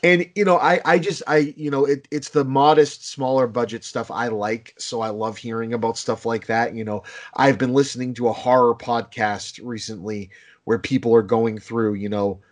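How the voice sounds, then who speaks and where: A man's voice: mid-range voice, normal speed. Rambling man with a Boston accent. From Boston, United States. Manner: rambling, animated, many restarts, swears casually. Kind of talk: casual chat.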